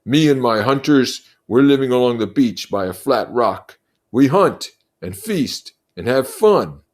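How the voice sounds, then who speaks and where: A man's voice: mid-range voice, animated, measured pace, voice pushed up. A man voicing a boastful, taunting boy chief of savage hunters in a storybook, declaiming with swagger. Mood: boastful, commanding.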